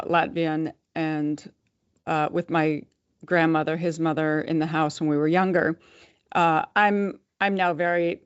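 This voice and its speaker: A woman from the United States talks as a podcast guest, thoughtful and measured. Her voice is smooth and resonant, heard close and dry with a slightly dull tone. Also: low voice, no room sound. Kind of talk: podcast interview.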